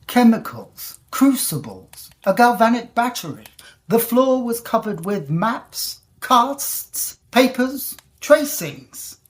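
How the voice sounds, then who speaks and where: A woman playing a confident, educated gentleman traveller, telling the tale formally and deliberately in a period audio drama. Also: medium pitch. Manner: formal, articulate, deliberate storytelling.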